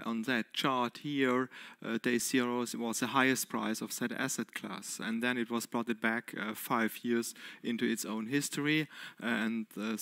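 A man's voice: mid-range voice, sharp tone, no echo. A man in his forties with a German accent, presenting as a panelist on a conference stage with a headset mic, hesitant and full of fillers. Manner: hesitant, many fillers, explaining a chart. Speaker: panelist, investment expert.

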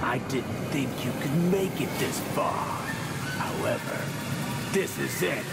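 An actor playing a pompous, condescending mad scientist villain who taunts slowly in a video game cutscene. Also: male voice, medium pitch, lively intonation, vocal fry, heavy background noise. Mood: smug, taunting. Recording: loud music under voice. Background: loud game music.

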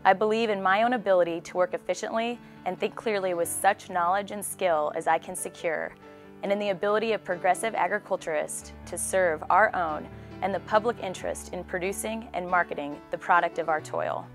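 A young woman with a slightly low voice recites a creed in a formal style over soft music. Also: a little fast. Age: young adult. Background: soft instrumental music.

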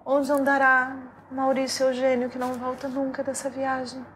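A woman playing a swooning, lovesick heroine in an improvised radio soap parody, with gasps and drawn-out exclamations. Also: high voice, monotone, background noise, lightly acted. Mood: longing.

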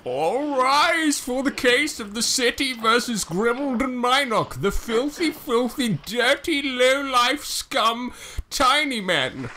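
A man voicing a pompous, contemptuous city judge in a tabletop game, declaiming loudly and haughtily. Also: very high-pitched, animated, some chatter behind, slight background noise, pitched above the performer's own voice, heavily acted. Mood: scornful, declaiming. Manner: loud, drawn-out, haughty declaiming. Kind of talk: tabletop roleplay character voice.

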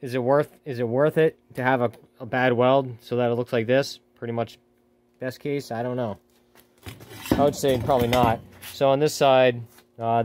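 A man talking casually as he welds in his home garage, rambling and correcting himself often. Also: medium pitch. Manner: rambling, self-correcting, offhand. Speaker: hobby welder, channel host. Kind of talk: casual workshop talk.

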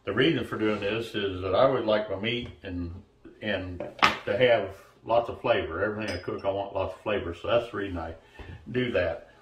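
A man in his sixties giving a casual, halting cooking demonstration as host, recorded from a distance in a home kitchen. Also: on the low side. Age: 60s. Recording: echoey kitchen, distant mic, faint noise.

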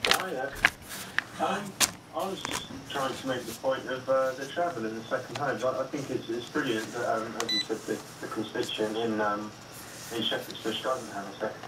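Hesitant man caller under loud noise. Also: medium pitch, moderate pace. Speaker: radio phone-in caller. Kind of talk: hesitant conversational opinion. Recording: roomy, distant, very noisy radio playback.

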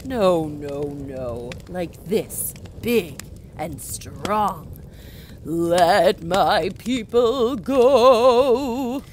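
A woman's voice: on the low side, extremely slow, a little mellow, faint music behind. A woman voicing God as a burning bush in a children's puppet show, bossy and encouraging, with an emphatic, coaxing delivery. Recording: noticeable background noise.

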